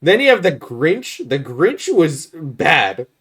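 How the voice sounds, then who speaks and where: A man's voice: fairly high pitch, big pitch swings, slow, a bit bright, slightly rough. A man co-hosting a podcast, talking casually and giving blunt, critical opinions. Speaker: podcast co-host. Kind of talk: casual opinionated chat about films.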